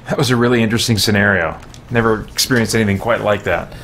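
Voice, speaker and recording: A man's voice: lowish voice, fast, a bit bright, a little hiss or hum. A man streaming a video game, giving casual, easygoing commentary and muttering mild exclamations to himself. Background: video game sound effects.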